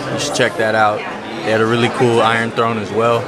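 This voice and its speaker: A man's voice: fairly low pitch, monotone, fairly quick. A young man hosting a casual vlog, chatty and joking, filming himself at arm's length in a busy themed restaurant. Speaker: vlog host. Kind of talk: casual vlog talk to camera. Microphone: handheld camera at arm's length. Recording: loud music and crowd noise. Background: loud music and crowd chatter.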